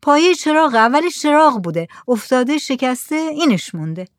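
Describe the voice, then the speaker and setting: A woman's voice: high voice, very animated, dry recording, clean studio sound, pitched above the performer's own voice. A woman reading the lines of an eager village man trying to sell his goods. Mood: coaxing. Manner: coaxing, persuasive.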